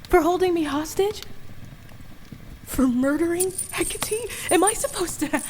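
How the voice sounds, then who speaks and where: A woman's voice: extremely high pitch, lively intonation, a little slow, a little mellow, slight background noise. A woman acting a defiant, wounded young captive in a radio play, with a raw, emotional delivery. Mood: furious, accusing. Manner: emotional, accusing, pleading.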